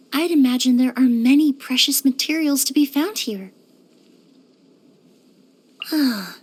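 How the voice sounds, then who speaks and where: A woman playing a composed, courteous young woman perfumer in a video game, speaking in a polite, measured, softly formal way. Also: high-pitched. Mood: calm, thoughtful. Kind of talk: scripted video game character lines.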